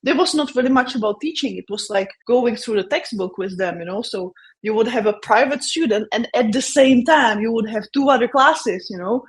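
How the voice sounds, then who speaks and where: A woman's voice: fairly high pitch, brisk, few pauses. Czech-accented woman telling a story. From Czech Republic. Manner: chatty, laughs often, many fillers and restarts. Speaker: guest. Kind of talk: casual interview storytelling.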